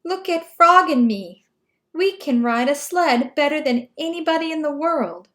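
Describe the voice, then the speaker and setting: A woman reads as a grumpy, anxious little storybook toad who protests bluntly. The voice is high and the words come slowly, with emphatic delivery. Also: lively intonation, a bit bright. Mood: delighted. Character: Toad, grumpy reluctant anxious male toad friend. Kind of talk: children's storybook read-aloud.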